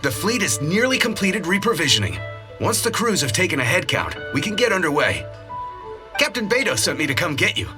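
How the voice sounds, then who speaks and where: Actor voicing young ship's crew messenger, dutiful, friendly, lively intonation, video game cutscene, background music. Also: medium pitch, fairly quick, lightly acted. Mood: brisk. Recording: music behind the voice.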